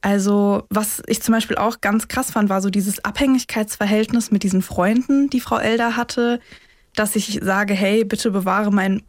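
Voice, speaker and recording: A woman speaks as a podcast co-host in casual, conversational language. Her voice sits at an average female pitch. The recording is very dry, with no room sound. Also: fairly even intonation, normal speed, dry recording. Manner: colloquial, conversational. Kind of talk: casual true-crime podcast discussion.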